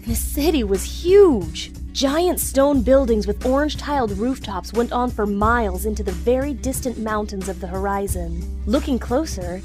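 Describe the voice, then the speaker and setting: A woman's voice: fairly high pitch, darkish tone. A woman narrator reading a scripted story in an audio drama.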